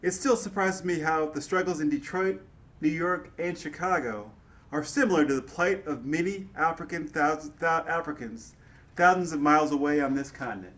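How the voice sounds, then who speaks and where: A man playing an earnest, impassioned civil rights activist and orator in a dramatic reading, speaking in measured oratory. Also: fairly high pitch, fairly bright tone, close to an everyday voice. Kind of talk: dramatic reading of historical dialogue.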